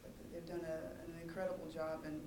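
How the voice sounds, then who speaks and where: An American man making halting, informal remarks at a meeting. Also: fairly high pitch, level tone. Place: indoor council meeting room. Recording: echoey, noisy room, distant mic.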